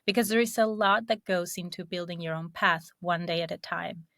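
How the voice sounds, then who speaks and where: Bright, upbeat woman podcast host. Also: fairly low pitch, normal speed, no room sound, clean studio sound. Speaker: podcast host and career coach. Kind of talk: podcast interview.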